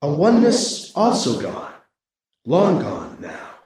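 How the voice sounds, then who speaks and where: A man voicing an ancient alien collective that speaks slowly and solemnly in another's mind. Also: a little high, huge pitch swings, darkish tone, very echoey, recorded from a distance, heavily acted. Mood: nostalgic. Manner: slow, solemn.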